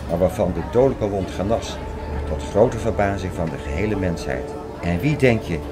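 A man acting as an earnest, conspiracy-minded scholar in an audio drama. His voice is low and he lectures insistently, explaining point by point. Music runs clearly underneath. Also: close to an everyday voice. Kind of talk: scripted audio drama dialogue.

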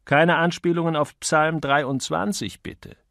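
A man's voice: fairly high pitch, steady tone, unhurried, creaky, a bit bright, no echo, clean studio sound, pitched above the performer's own voice. Man acting a grumpy, curt copywriter. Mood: brisk, instructing. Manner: curt, emphatic, grumbling. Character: grumpy, cynical male advertising copywriter, bossy and exasperated.

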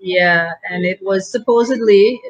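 A woman's voice: medium pitch, unhurried, lo-fi sound. A woman from Guyana answering interview questions over a video call, hesitant with many fillers and a dry humor. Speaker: guest. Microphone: video call webcam.

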